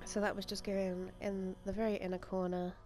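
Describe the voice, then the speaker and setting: A woman's voice: medium pitch, little pitch movement, moderate pace. Young woman, stuffy cold voice, rambling makeup voice-over, faint music underneath. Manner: chatty, rambling, lots of ums and likes. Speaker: makeup vlogger. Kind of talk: casual makeup tutorial voice-over.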